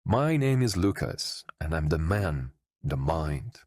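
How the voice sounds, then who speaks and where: A man from Brazil, a native Portuguese speaker with a Brazilian accent, talking earnestly and haltingly to his viewers, set back from the mic. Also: a bass voice, sing-song, darkish tone. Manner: earnest, halting, with fillers and restarts. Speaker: channel creator. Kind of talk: unscripted personal address to viewers.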